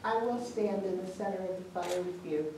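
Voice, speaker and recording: A middle-aged woman, a death doula on a panel, speaking earnestly and in a measured way to an audience. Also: on the low side, dark tone. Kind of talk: personal talk to an audience. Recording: echoey room, far from the mic, some noise.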